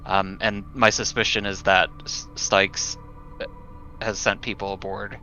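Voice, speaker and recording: Slow, bright-voiced man, hesitant. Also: fairly low pitch, level tone. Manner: hesitant, halting. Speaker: tabletop game player. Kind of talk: casual in-game planning chat. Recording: dull, muffled lo-fi audio, faint music.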